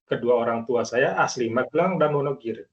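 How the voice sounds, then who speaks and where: A man's voice: medium pitch, a little fast, dull audio. A man from Jakarta giving a friendly, explanatory class self-introduction. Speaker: student presenter. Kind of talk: class presentation.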